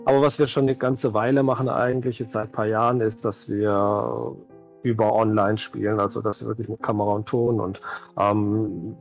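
A middle-aged man talks casually in a gravelly, medium-pitched voice. He sounds slightly dull, as on an online call. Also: darkish tone. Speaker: guest game master. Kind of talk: casual chat. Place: indoor room, on a video call. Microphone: headset on a video call. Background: background music.

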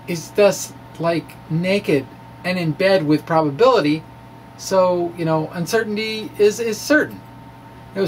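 Theatrical middle-aged man with a high-pitched voice. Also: slight background noise, rough recording. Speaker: science video blogger reading his own blog aloud. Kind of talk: dramatic reading with ad-libbed asides. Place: small indoor room with bookshelf. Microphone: microphone on stand in front of him.

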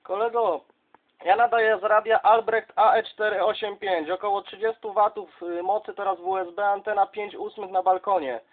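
High-pitched man chatting on CB radio. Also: steady tone, normal speed. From southern Poland. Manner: chummy, addresses others as colleague. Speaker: CB radio operator. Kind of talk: casual radio contact chat. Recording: dull, lo-fi sound.

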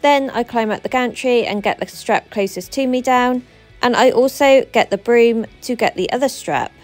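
A woman in her thirties, a truck driver, narrating her work in a steady voice-over, explaining each step. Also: on the high side, moderate pace, faint music behind.

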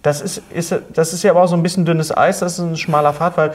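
Man, middle-aged, talk host, raised pitch, casual chat, halting, repeats words. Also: fairly even intonation. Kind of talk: casual interview chat, reading out fan questions. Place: indoor studio set at a table.

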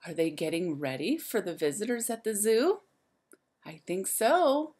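A woman's voice: mid-range voice, lively intonation, unhurried, darkish tone. A woman in her thirties, a teacher reading a picture book aloud to young children in a sing-song way, speaking to them directly.

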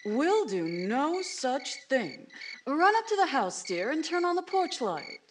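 A woman's voice: high-pitched, sing-song, takes it slowly, slight background noise, heavily acted. A woman playing a chatty, fussy, bossy suburban wife in an old-time radio drama. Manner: gushing, fussy. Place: indoors at home, video call setup.

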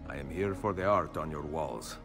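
Game assassin, low voice, Italian accent, measured, polite but firm, music behind. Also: male voice. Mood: calm, firm. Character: hooded male assassin, calm, courteous and resolute. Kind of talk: video game cutscene acting.

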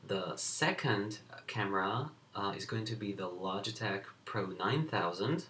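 Lowish-voiced young man reviewing tech. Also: animated, sharp tone, slight background noise. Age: young adult. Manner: plain, measured, frequent "uh" fillers. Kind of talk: casual spoken product review. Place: small home room at a desk. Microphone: built-in computer webcam mic.

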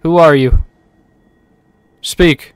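A man's voice: on the high side, fairly even intonation, drawn-out words, clear, resonant tone, dry recording. A young man giving irreverent, sweary comedic game commentary into a close desktop mic, reacting aloud. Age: young adult. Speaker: gaming YouTuber. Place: small room at home. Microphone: desktop microphone up close.

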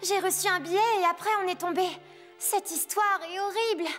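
A woman voicing an earnest, feisty teenage angel girl in a French cartoon dub, excitable and emphatic. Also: very high-pitched, a little fast, soft background music. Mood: puzzled, anxious.

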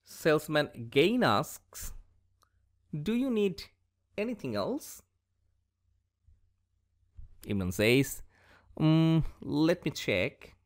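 A man teaching a school lesson in a voice-over, reading a dialogue aloud in a slow, deliberate way. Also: a little high, big pitch swings. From Bangladesh. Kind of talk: scripted English lesson for schoolchildren. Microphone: voice-over over slides.

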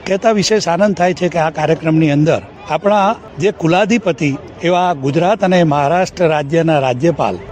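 A man makes a formal statement as a university official. His voice is a little higher than usual. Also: brisk, darkish tone. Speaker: university vice-chancellor.

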